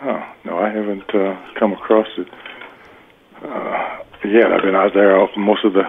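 A man's voice: lowish voice, flat delivery, normal speed, slight background noise. A man in a casual phone conversation over a tinny line, speaking in a hesitant, polite and guarded way. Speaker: homeowner receiving a prank call. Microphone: telephone.

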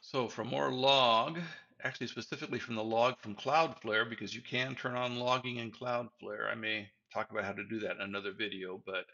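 A man's voice: medium pitch, flat delivery, brisk, gravelly, bright, forceful tone, highs cut off. A man in his sixties giving a casual, folksy tech tutorial with wry asides, recorded in a small room at home. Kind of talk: casual explanatory presentation over slides.

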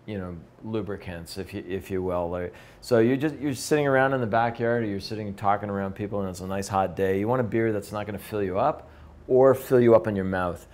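Man, fifties, lowish voice, chatty beer tasting talk, camera across a room. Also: darkish tone. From Canada. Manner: conversational, enthusiastic, gestures while talking. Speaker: beer educator. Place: brewery room with whiteboard.

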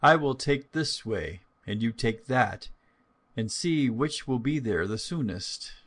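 A man voicing a cunning hungry wolf in a storybook, coaxing and falsely gentle. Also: medium pitch, animated, takes it slowly, breathy edge, full character voice. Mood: sly. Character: cunning hungry male wolf, feigning a kindly feeble granny.

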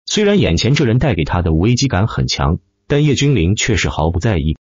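Husky, deep-voiced male audiobook narrator. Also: sing-song, brisk, no echo, highs cut off. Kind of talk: dramatic scripted narration of a fantasy web novel.

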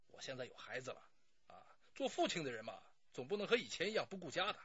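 A man's voice: fairly high pitch, big pitch swings, fast, a bit bright, slightly rough, no echo, muffled recording, heavily acted. An actor playing a talkative, self-justifying middle-aged man under police questioning in a radio drama, pleading and sighing as he explains. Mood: frank, defensive.

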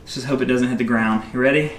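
A man's voice: mid-range voice, fairly even intonation, fast, slight background noise. A man in his thirties, upbeat and chatty, reviewing gear in a casual home vlog, recorded on a close camera mic in his garage. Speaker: fishing vlogger.

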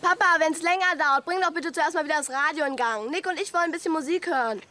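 Actress as a high-pitched, quick teenage daughter. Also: female voice, natural voice. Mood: perky. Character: teenage daughter, perky and bossy. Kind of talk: German radio play acting.

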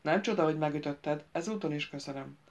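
A commanding young king, as a woman narrator reads him. His voice is steady and firm, with quiet authority. It is told in a fantasy audiobook. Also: low-pitched, dull audio. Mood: stern.

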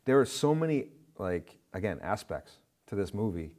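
Middle aged guy guest on a film chat, low voice, rambles with lots of you knows. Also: sing-song, moderate pace, darkish tone. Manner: rambling, full of "you know". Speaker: guest commentator. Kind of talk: casual film discussion. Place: indoor studio set with curtains. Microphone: lapel mic.

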